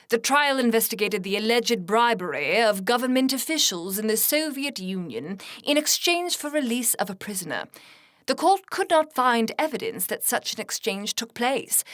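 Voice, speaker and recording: A woman's voice: a little high, a bit bright, no room sound, studio quality. A woman playing a composed, formal 1960s radio news anchor in a scripted drama. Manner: measured, formal newsreading.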